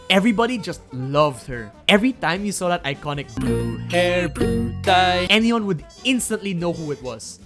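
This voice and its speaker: A young adult narrates a scripted but casual video essay with music underneath. The delivery is animated and informal, peppered with swearing, at a middling pitch. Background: background music.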